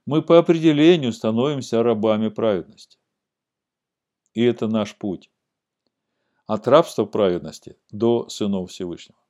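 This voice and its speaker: A man giving a sermon-like scripture lesson, explaining and reading aloud in a deliberate, didactic way that stresses key words. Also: on the low side, dark tone.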